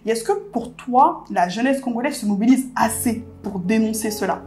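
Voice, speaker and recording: A woman's voice: a little high, normal speed, a little mellow, soft background music. A woman interviewer speaking with passion in a casual conversation, often filling with "en fait". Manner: impassioned, many "en fait" fillers.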